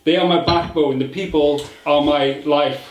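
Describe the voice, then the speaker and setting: Welsh man, young, fairly high voice, animated, talks over others. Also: moderate pace. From Wales. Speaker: podcast co-host. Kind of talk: casual debate among friends. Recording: echoey room, faint noise.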